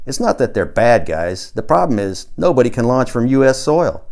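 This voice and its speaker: A man acts out a brash amateur rocket builder from an audiobook. He is boastful and combative, punching out his folksy rant with heavy emphasis, in a medium-pitched male voice. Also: sing-song, moderate pace, vocal fry, darkish tone. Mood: reasoning, insistent. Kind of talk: audiobook character dialogue.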